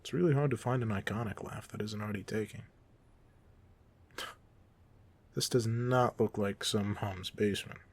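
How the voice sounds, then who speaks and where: Male actor as goofy supervillain, audio roleplay, raspy, creaky fry, casual, teasing, self-deprecating, secretly soft-hearted. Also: on the low side, a little fast. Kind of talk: ASMR roleplay monologue, casual conversational acting.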